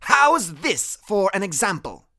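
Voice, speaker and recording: A man doing a tiny fierce boy shouting orders. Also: sits high, lively intonation, unhurried, no room sound, studio quality, voice pushed up, heavily acted. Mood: boastful. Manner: bold, commanding, shouting.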